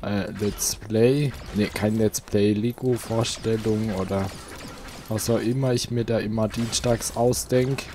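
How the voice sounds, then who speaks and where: A man's voice: fairly low pitch, fairly even intonation, a little slow, mellow, a little hiss or hum. A man hosting a let's play, chatting casually and thinking aloud while he plays, seated at home. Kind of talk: casual gameplay commentary.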